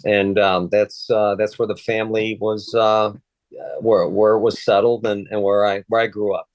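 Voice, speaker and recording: Man in his sixties, from Chicago, Polish first language, low voice, dry close sound, hesitant with fillers. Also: level tone, moderate pace, no room sound. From Chicago, USA. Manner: hesitant, many fillers and restarts, occasional chuckles. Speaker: interview guest, retired Secret Service agent. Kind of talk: casual conversational interview.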